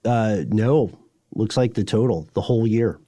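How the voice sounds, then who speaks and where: A man's voice: fairly low pitch, a little slow, dark tone, no echo. A man co-hosting a podcast in a casual, conversational style of banter and commentary. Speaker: podcast co-host.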